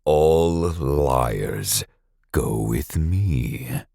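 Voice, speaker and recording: A man voicing a menacing horned monster in a storybook tale, with a slow, whispery, growling hiss. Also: rumbling low, expressive, vocal fry, warm tone, no echo, studio quality, exaggerated voice. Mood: hissing menace.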